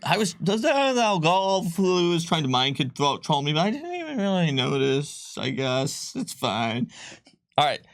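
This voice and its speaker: A man explaining tabletop game rules in a casual livestream chat, talking in quick bursts with ums and restarts. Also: fairly high pitch, very animated, dry recording, studio quality. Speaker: game designer co-host.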